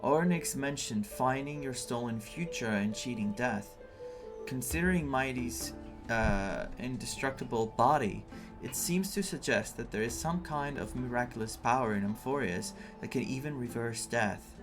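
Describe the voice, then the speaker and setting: A man voices a loyal young male companion in a video game, serious and reserved. His mid-pitched delivery is measured and earnest, held back in feeling, with music underneath. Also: lively intonation, close to an everyday voice. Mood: grave, resolute.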